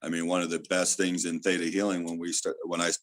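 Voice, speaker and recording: A man with a loud voice, a theta healer, earnestly explaining his spiritual views in a casual interview. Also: low voice, flat delivery, fast talker, dry recording. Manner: earnest, explaining with many fillers.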